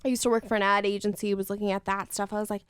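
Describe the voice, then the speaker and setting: A thirty-year-old woman telling personal stories in casual conversation as a podcast guest, animated, acting out quotes and swearing freely. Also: mid-range voice, rapid-fire, clean tone, no echo, clean studio sound. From United States. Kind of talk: casual chat, personal storytelling.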